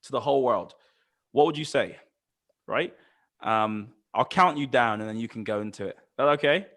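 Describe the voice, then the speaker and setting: A man's voice: mid-range voice, lively intonation, normal speed, a bit bright. A man hosting a podcast with eager enthusiasm, repeating words and restarting his sentences as he talks. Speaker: podcast host.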